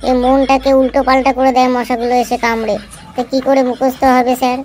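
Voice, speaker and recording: A woman plays a cheeky cartoon schoolboy with a high voice. He pleads his silly excuses at length, over faint background noise. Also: level tone, brisk, darkish tone, natural voice. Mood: pleading. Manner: pleading, long-winded excuse-making. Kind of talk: amateur cartoon dub.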